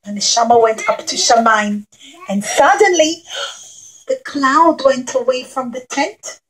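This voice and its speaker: Woman, high voice, children's puppet-show storyteller, short simple phrases, talks directly to kids. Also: poor audio. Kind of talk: animated storytelling to young children.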